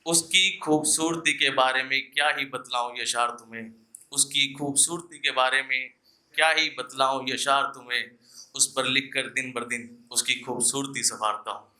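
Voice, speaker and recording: A man's voice: medium pitch, steady tone, normal speed, pushed and bright. A young man performing poetry live at an open mic, declaiming couplets with repeated lines and casual asides to the audience.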